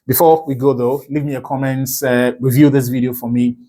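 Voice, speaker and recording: A man's voice: medium pitch, flat delivery, a little fast, dark tone, a little hiss or hum. Ghanaian man breaking down football. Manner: fluent, self-correcting, chatty asides. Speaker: football analyst. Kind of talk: solo football analysis video.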